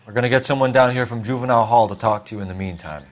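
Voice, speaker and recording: A man's voice: mid-range voice, rapid-fire, lightly acted. A man playing an earnest, bookish young American police detective in a game, clipped and precise. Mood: businesslike. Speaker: video game voice actor. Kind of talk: scripted detective drama acting.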